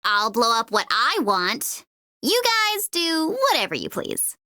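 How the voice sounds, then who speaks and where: A woman's voice: fairly high pitch, very animated, a little slow, sharp tone, no echo, studio quality, heavily acted. A woman playing a cocky young mercenary in a video game, with a mocking, playful and sardonic manner. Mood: playful menace. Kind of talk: video game character voice lines.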